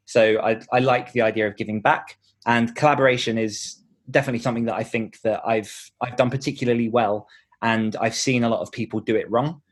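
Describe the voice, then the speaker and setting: Guy with a medium pitched voice chatting on a podcast, explaining stuff earnestly, thinking out loud. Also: steady tone, brisk. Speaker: podcast host and author being interviewed. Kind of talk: conversational interview answers.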